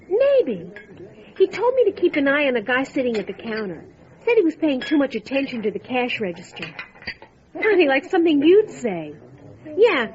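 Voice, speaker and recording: An actress playing a wry, chatty small-town diner waitress, telling what she knows in a musing, expressive way, in old-time radio drama style. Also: high voice, dull audio. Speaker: voice actor.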